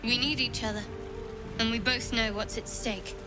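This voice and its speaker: A guarded young woman cleric from a fantasy video game, played by an actress. Her voice sits fairly high, and she talks in measured, matter-of-fact phrases with a dry edge. Music plays clearly behind her. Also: fairly even intonation, close to an everyday voice. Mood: resolute. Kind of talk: video game cutscene dialogue.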